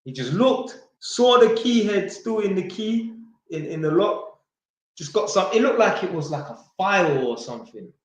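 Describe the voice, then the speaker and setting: A man's voice: sits high, very animated, normal speed. A man reads out viewer questions and answers them bluntly in casual, slangy chat, swearing freely and joking. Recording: very echoey room.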